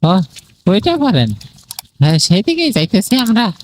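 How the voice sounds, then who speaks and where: A man's voice: sits high, sing-song, normal speed, voice pushed up. A man voicing a talkative village bus helper and driver for a cartoon, in a colloquial rural Bengali dialect. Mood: chatty, curious. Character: chatty rustic male bus helper and rickshaw driver. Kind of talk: Bengali horror cartoon voice acting. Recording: clearly noisy, ambient sound behind voice.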